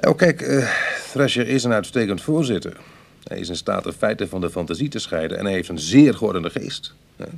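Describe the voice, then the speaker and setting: An actor playing a wry, sceptical ex-burglar in a radio drama, husky and brooding, with hesitant conversational delivery. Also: male voice, lowish voice, lively intonation, brisk. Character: wry, sceptical male ex-burglar on a prison committee. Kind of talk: Dutch radio drama acting.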